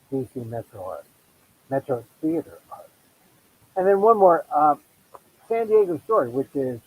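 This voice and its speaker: A middle-aged Filipino American man hosting his own podcast, chatting casually with self-deprecating asides and quick restarts. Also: mid-range voice, a little mellow, husky, rough recording. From United States. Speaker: podcast host. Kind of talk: casual solo monologue promoting his show.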